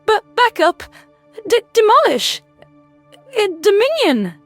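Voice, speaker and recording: An extremely high-pitched, tiny female rodent alien for a sci-fi audio drama. She stammers in agitation, frightened and indignant, her voice swooping up and down over background music. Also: wildly swooping pitch, slow, full character voice. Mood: fearful confusion.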